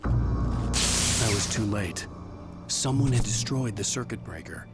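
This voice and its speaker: Brooding thriller hero, swinging pitch. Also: male voice, expressive, takes it slowly. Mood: grim, brooding. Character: troubled male writer hero narrating his nightmare, grim. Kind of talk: scripted first-person thriller game narration. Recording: loud game music over the voice.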